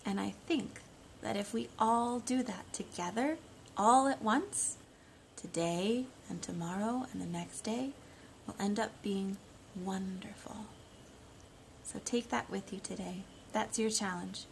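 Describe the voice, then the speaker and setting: A woman in her thirties reading and talking to young children in simple, expressive storytime phrasing, recorded on a phone at home. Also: mid-range voice, unhurried, creaky. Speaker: children's storytime reader and teacher. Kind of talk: storytime read-aloud and talk to young children. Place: living room at home, indoors. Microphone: phone at about arm's length. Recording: noisy homemade phone recording.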